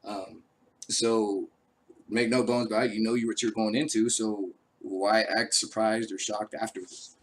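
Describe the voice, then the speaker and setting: Raspy-voiced veteran host, laid-back and rambling. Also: male voice, on the low side, steady tone, a little slow, poor audio. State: raspy from a cold. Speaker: show host; army veteran. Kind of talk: casual live-stream talk.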